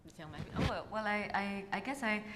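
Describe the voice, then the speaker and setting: A woman's voice: mid-range voice, expressive, a bit bright. Kenyan woman laughing through anecdotes. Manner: laughing, quick asides. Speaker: guest. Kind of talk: casual storytelling on a talk show. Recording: roomy hall with long echo, faint noise.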